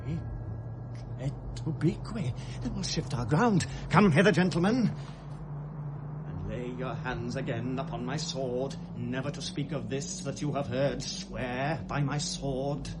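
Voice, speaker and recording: An actor playing a feverish, grieving young prince with a British accent, in a classical Shakespearean radio drama, with theatrical pauses. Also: male voice, mid-range voice, lightly acted. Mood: urgent, solemn. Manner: volatile, theatrical delivery with rhetorical pauses. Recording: noisy old radio drama recording.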